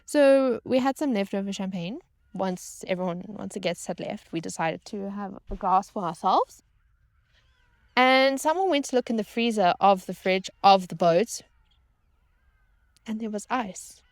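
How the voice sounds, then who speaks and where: A woman's voice: medium pitch, measured pace, no echo, clean studio sound. A woman telling a casual comedic personal story to viewers in a dramatic, self-mocking way, repeating phrases for emphasis. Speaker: storytelling vlogger and former yacht crew member.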